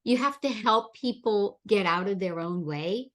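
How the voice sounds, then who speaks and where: A woman's voice: medium pitch, a little slow, fairly bright tone. A woman from the Bahamas hosting a leadership interview, encouraging and repeating words as she builds her questions. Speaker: podcast host. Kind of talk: interview chat.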